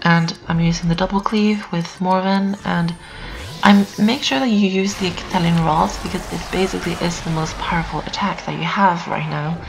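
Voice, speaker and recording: A teenage girl doing casual gameplay commentary, hoarse from just waking up, chatty and repetitive with lots of fillers. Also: lowish voice, moderate pace, poor audio. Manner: chatty, repeats herself, lots of "um" and "so". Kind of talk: casual let's-play commentary. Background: builders' noise outside.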